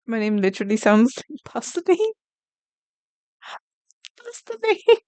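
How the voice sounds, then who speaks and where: Smooth-voiced woman chatting on stream. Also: fairly high pitch, very animated, measured pace, a little mellow, dry recording. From Brazil. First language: Portuguese. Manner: chatty, reacts to chat messages. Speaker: artist streamer. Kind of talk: casual live-stream chat while drawing.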